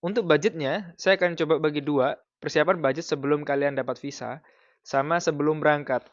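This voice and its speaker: A man in his thirties from Indonesia, giving casual advice in a vlog in a relaxed, explanatory way with frequent fillers. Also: on the high side, a little fast, dry recording, dull audio. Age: 30s. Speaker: vlogger.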